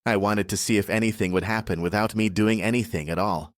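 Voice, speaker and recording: A man narrating a scripted first-person horror audiobook in a measured, deliberate way. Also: lowish voice, fairly even intonation, no room sound, clean studio sound.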